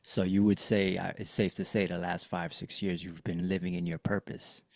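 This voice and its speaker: A male podcast host with a low voice, recorded in a dry setting with no room echo. He asks curious, encouraging questions to draw out his guest. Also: little pitch movement, moderate pace, creaky. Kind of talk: casual interview chat.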